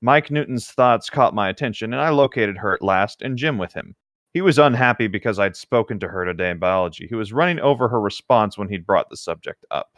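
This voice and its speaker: Lowish-voiced man reading a novel aloud. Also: lively intonation, a little fast, a bit bright, no room sound, studio quality. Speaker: co-host of a comedic read-aloud show. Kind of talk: reading novel narration aloud with casual asides.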